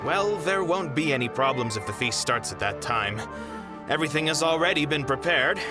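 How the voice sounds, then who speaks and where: A man plays a proud, middle-aged theater manager in an anime game. The delivery is formal, fussy and very lively in pitch. Music is clearly heard behind it. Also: normal speed, natural voice. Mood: exasperated. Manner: exasperated, formal, emphatic.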